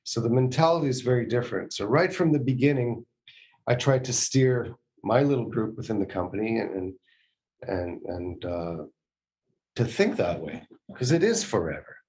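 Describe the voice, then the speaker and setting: A man's voice: medium pitch, lively intonation, moderate pace, a little mellow, poor audio. A middle-aged man from Canada talking casually and reflectively as a guest on a video call, repeating phrases for emphasis. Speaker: guest, production executive. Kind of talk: casual reflective interview talk. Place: indoor room on a video call.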